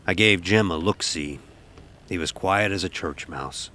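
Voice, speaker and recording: A man playing a stern, gruff ship's officer who tells a sea tale in commanding, archaic nautical speech for an audio horror drama. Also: sits low, unhurried, bright, forceful tone, slight background noise. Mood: grave, musing. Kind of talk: first-person dramatic storytelling, audio horror.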